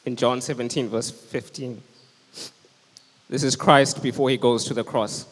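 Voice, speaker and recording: A man's voice: mid-range voice, steady tone, unhurried, husky. A young man preaching a sermon on a church stage, earnest and urgent, into a handheld microphone. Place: church stage with band instruments, indoors. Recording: long hall reverb.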